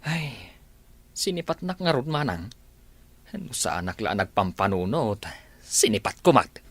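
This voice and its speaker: An actor with an Ilocano accent playing a blundering, cheeky village driver who blurts and exclaims in a comedy radio drama. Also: male voice, mid-range voice, measured pace, no echo. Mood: protesting, cheeky. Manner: animated, deferential yet blurting, exclaiming.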